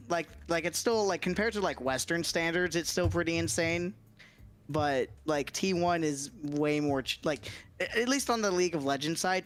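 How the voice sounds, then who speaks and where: A man's voice: fairly high pitch, clear, resonant tone. A man chatting casually as a livestream guest, explaining things in a hesitant, circling way with frequent hedging.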